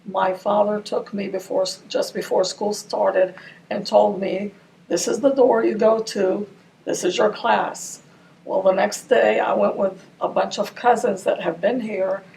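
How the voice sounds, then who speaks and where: A woman's voice: mid-range voice, fairly even intonation, unhurried, creaky, darkish tone. An older woman from Ramallah whose first language is Arabic, recalling her childhood in an oral history interview. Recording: distant mic with faint noise.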